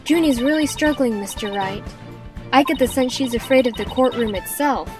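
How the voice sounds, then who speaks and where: Woman playing a high-voiced, eager young lawyer. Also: normal speed, natural voice. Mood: concerned. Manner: sincere, friendly, informal. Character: eager, caring young female rookie lawyer. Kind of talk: video game fandub. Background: prominent game music.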